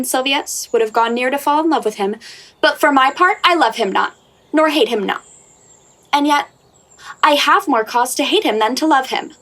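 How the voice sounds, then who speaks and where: Actress as snooty young shepherdess, high voice, haughty n petulant, shakespeare radio play. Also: female voice, a bit bright, close to an everyday voice. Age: young adult.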